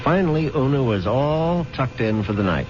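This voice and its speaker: A man's voice: medium pitch, normal speed, noisy background, natural voice. An actor playing a scheming husband who narrates his own crime and poisons his rich wife, in 1970s radio drama style. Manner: calm, coaxing, darkly amused. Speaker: narrator and lead character. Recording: dull old radio broadcast with loud music.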